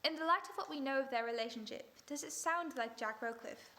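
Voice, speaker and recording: A woman playing a composed, sharp detective in an old-time radio drama, cool and in command. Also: high voice, a little fast.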